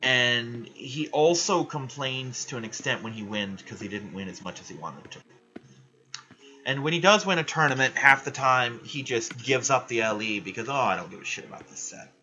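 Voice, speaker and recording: A young man ranting loudly and swearing in casual chat while he plays a video game. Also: mid-range voice, lively intonation, moderate pace, dull audio. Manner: loud, ranting, frequent swearing. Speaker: gaming vlogger.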